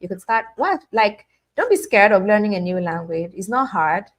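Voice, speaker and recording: A woman's voice: medium pitch, big pitch swings, moderate pace. A young woman hosting a casual live stream, chatting and reading out viewers' names with many restarts and fillers. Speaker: livestream host.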